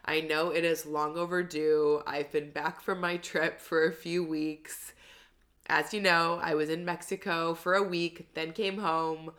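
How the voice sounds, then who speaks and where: Brief: woman podcast host, lowish voice, a little stuffy from a cold, candid and chatty. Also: level tone, measured pace, fairly bright tone. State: slightly congested from a cold. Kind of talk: solo podcast monologue.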